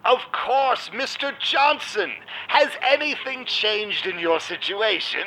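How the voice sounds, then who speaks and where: A smug vampire club owner in an audio drama. His voice is high for a man and swoops theatrically. He takes his time, savoring his own schemes with suave calm. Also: slow pace, heavily acted, rough recording. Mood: falsely sympathetic. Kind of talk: audio drama narration and dialogue.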